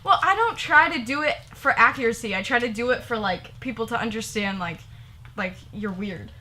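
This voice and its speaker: A woman's voice: fairly high pitch, expressive, fairly quick, bright, forceful tone. A young woman hosting a casual couple Q&A vlog, giggling often and rambling, repeating herself as she talks. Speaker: vlogger.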